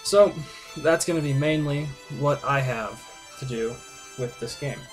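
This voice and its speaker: A man's voice: mid-range voice, a little slow. Male game playthrough host, mid-range voice, loose hesitant delivery, faint music underneath. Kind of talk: casual gaming commentary.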